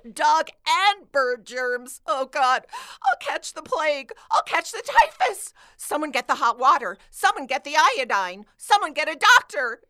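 A woman's voice: high voice, sing-song, measured pace, pushed and bright, exaggerated voice. A woman playing a bossy, sarcastic and melodramatic schoolgirl in a comic radio play. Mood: disgusted, frantic. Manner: sarcastic, theatrical outbursts. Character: bossy, melodramatic, easily disgusted cartoon schoolgirl.

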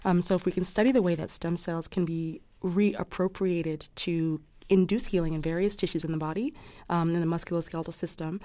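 A woman with a mellow, slightly low voice, recorded close and dry. She explains technical matters conversationally, choosing formal words in an articulate, measured way. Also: steady tone, no echo. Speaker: physician interview guest.

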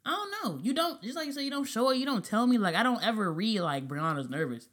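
A woman's voice: on the high side, lively intonation, fast talker, breathy edge. A young woman hosting a podcast, talking in blunt, profane, laughing banter. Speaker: podcast host. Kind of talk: casual chat.

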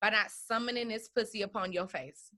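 A woman's voice: medium pitch, fairly quick, pushed and bright. A woman in casual chat, talking bluntly and with animation.

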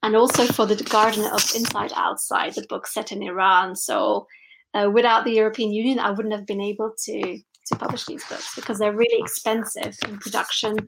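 A woman's voice: medium pitch, little pitch movement, a little fast, gravelly, noisy background. A woman from Belgium, a children's book publisher, talking on a video call with many restarts and frequent laughs. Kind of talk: remote interview.

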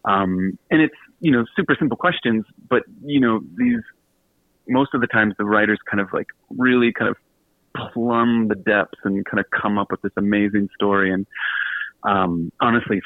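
A man's voice: lowish voice, no room sound, phone-quality sound. A man chatting casually as a radio interview guest, self-deprecating and effusive, with a joking manner. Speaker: guest, author and podcast host.

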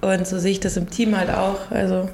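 A woman's voice: mid-range voice, flat delivery, fairly quick, darkish tone. A woman from Bavaria, Germany, chatting playfully as a guest in a casual podcast interview recorded in an office. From Germany, Bavaria (Ingolstadt). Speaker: podcast guest, managing director. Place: office room. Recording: roomy echo, clear background noise.

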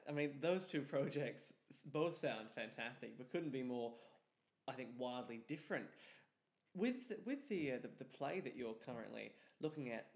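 A man's voice: medium pitch, vocal fry. Polite male interview host, echoey sound. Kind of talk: radio-style interview questioning.

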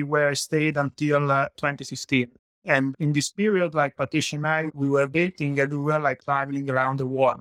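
A man's voice: fairly high pitch, a bit bright, no echo. A man in his forties from Italy with an Italian accent, a guest telling his story in a loose, conversational way. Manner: loose, sprinkled with "like", non-native grammar. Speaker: guest, search fund entrepreneur. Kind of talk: conversational interview.

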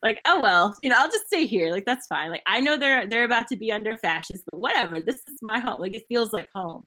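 A woman's voice: fairly high pitch, expressive, fast talker, bright, forceful tone. Chatty young woman hosting a podcast. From Memphis, Tennessee, United States. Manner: chatty, frequent "like" and "um", laughs often. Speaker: podcast host. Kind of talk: casual podcast conversation.

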